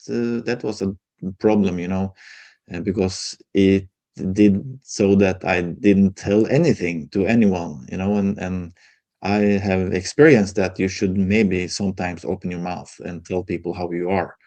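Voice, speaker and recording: Guest: middle-aged Norwegian man, low and mellow, halting with fillers. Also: gravelly. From Norway. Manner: hesitant, many fillers, candid. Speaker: guest, folk healer. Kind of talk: casual interview conversation.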